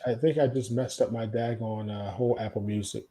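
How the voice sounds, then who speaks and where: A man's voice: on the low side, fairly even intonation, brisk, mellow. An American man co-hosting a podcast in casual music chat, often repeating his own phrases as he talks. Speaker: podcast co-host.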